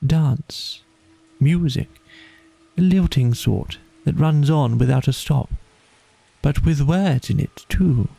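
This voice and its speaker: Man as a languid water rat, storybook character, poetic, rapt, murmuring, very slow, gravelly. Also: on the high side, warm tone, dry recording, pitched above the performer's own voice, exaggerated voice. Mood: dreamy.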